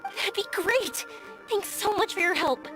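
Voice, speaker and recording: A cheerful young game heroine with a high voice. She sounds polite, friendly and determined, with faint music underneath. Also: lively intonation, a little slow, sharp tone, exaggerated voice. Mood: delighted. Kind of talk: video game voice acting.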